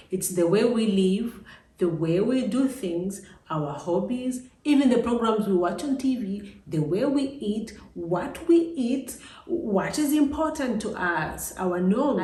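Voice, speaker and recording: A woman's voice: mid-range voice, warm tone. A middle-aged woman with a Ugandan accent talks reflectively and directly to her viewers in a personal vlog, stressing points through repetition. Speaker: vlogger, host. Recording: echoey room, distant microphone.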